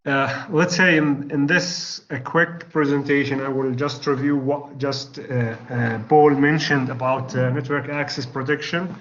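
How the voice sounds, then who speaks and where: A male lecturer with an Arabic accent, losing his voice, teaching a class in a halting way with many pauses and restarts. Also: medium pitch, a bit bright. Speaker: university lecturer. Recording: slightly dull lo-fi recording.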